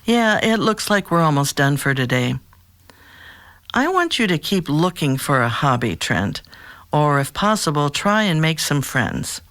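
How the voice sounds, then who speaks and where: Woman acting as an expressive, patient therapist. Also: low-pitched, measured pace, no echo, exaggerated voice. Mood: calm, encouraging. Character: calm, professional therapist, patient and practical. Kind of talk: audio drama dialogue.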